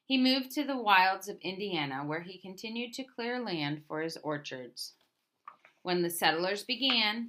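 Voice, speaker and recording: A woman reading a picture book aloud as a storyteller, in a deliberate manner with emphatic openings to her phrases. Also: lowish voice, bright, forceful tone. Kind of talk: scripted picture book narration.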